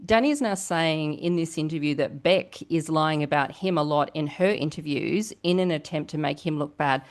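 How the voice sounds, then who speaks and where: Low-pitched woman, chatty gossip podcast host. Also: moderate pace. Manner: chatty, rhetorical questions to listeners. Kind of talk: casual reality TV gossip commentary.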